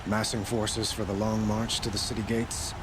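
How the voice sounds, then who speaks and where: A man's voice: lowish voice, flat delivery, moderate pace, background noise, lightly acted. An actor playing an earnest, anxious, loyal male soldier in a video game cutscene. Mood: questioning. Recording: loud music behind.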